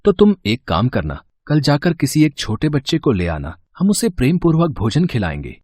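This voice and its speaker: A man voicing a pious, gentle husband who reasons earnestly, in a storybook tale. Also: medium pitch, wildly swooping pitch, normal speed, slightly rough, dry recording. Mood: persuading. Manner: earnest, persuasive.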